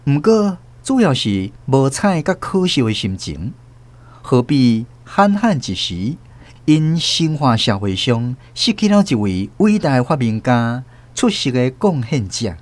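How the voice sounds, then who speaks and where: A man from Taiwan, host and narrator of a radio drama, reading the story in a measured, expressive way. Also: medium pitch.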